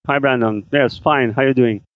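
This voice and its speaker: A man answering interview questions in halting second-language English. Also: medium pitch, steady tone, no room sound. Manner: halting non-native English with fillers. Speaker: guest, business seller. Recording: dry, dull remote call audio.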